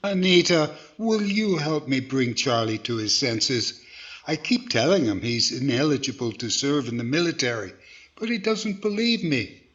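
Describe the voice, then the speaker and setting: A man's voice: mid-range voice, animated, normal speed, a bit bright, close to an everyday voice. A man playing a patient, reasonable ventriloquist, the mild straight man in a 1940s-style radio comedy, slightly hesitant and reassuring. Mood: exasperated. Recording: slightly dull lo-fi sound.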